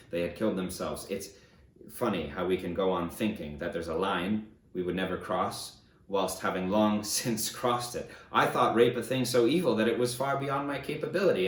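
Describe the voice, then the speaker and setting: A man reading an audiobook as a remorseful fallen conqueror, confessing his atrocities in a grave, measured, emphatic way. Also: low voice, echoey room, close to an everyday voice. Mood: grim, subdued, then bitter self-reproach. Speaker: audiobook narrator. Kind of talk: dramatic first-person audiobook reading.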